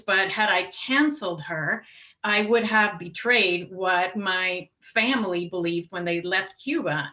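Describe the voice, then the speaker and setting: Bright-voiced woman panelist telling stories. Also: medium pitch. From Puerto Rico. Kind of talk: panel discussion, personal anecdote and argument.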